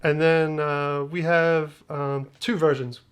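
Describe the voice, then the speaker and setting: A man's voice: fairly high pitch, flat delivery, slow. A man hosting a music production tutorial, talking casually in a loose, joking, slangy way with trailing phrases. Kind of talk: casual screen-share tutorial talk.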